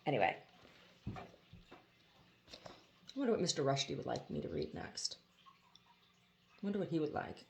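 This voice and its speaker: A woman reading a novel aloud as expressive storybook narration, with a casual touch. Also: sits low, normal speed, creaky, long pauses, a little hiss or hum. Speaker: audiobook reader.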